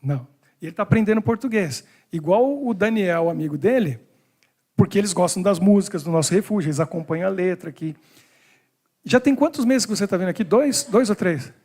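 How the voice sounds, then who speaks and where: A man's voice: high-pitched, lively intonation, a little fast, darkish tone. A man preaching a sermon as pastor, talking to his congregation in a conversational way. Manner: conversational, talks to the congregation directly. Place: church hall in Brussels.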